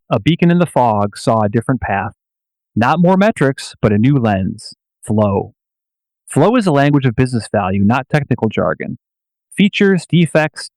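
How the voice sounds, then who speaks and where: Guy narrating a radio story, mid pitch, deliberate and kinda solemn, dry recording. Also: gravelly, a little mellow, no room sound, rough recording. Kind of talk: scripted dramatic narration.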